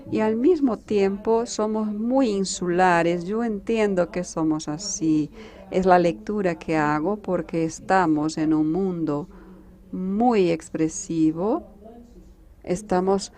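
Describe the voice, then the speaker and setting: A Brazilian woman diplomat, a native Portuguese speaker, speaking with a Brazilian accent at a conference panel, measured and halting with restarts. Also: lowish voice, dark tone.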